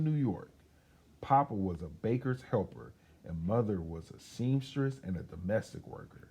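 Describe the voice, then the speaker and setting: A man reading a children's storybook aloud as a storyteller, in an expressive, chatty way with casual asides. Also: medium pitch, breathy edge.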